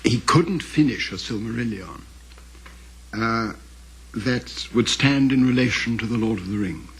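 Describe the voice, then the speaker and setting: Older guy, really husky raspy voice, talks slow n careful, scholarly, stops and restarts while reflecting. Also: medium pitch, poor audio. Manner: halting, deliberate, scholarly, with repeated self-corrections. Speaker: interviewee, author's son recalling his father. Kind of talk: reflective interview commentary.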